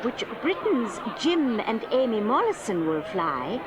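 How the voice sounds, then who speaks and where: An actress playing a 1930s radio commentator at an air race, announcing in a brisk, formal manner. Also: female voice, a little high, big pitch swings, poor audio. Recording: commentary over a loud crowd. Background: loud crowd.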